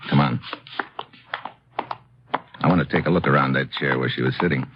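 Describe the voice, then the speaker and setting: Deep-voiced hard-boiled American agent. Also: male voice, normal speed, noisy background. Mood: alert, clipped. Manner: clipped, hard-boiled delivery. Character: tough, brisk American secret agent, grown man. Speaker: lead and first-person narrator. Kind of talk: 1950s radio detective acting, scripted narration. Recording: muffled old radio recording, loud music.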